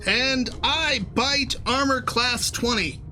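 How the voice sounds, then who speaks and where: A woman's voice: high-pitched, takes it slowly, pushed and bright. A woman playing in a tabletop game, casually stating her moves piece by piece, with music behind her.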